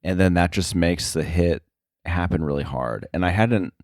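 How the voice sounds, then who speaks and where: A man talking casual shop as an interview guest, halting and often circling back to rephrase himself. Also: low-pitched, a little mellow, no echo, clean studio sound. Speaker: interview guest, sound effects editor.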